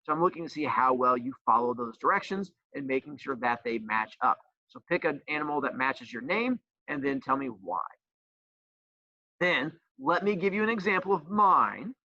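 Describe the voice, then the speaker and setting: Lively, bright-voiced joking male teacher. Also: medium pitch, normal speed, no room sound, dull audio. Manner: joking, playful teasing; says he has a loud voice. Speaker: teacher and school coach. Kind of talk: explaining a homework assignment.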